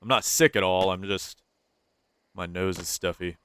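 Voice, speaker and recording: A man's voice: deep voice, unhurried, a bit bright, a little hiss or hum. A young man with a stuffy, nasal voice, casually commentating his gameplay, thinking aloud and repeating himself. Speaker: gaming YouTuber.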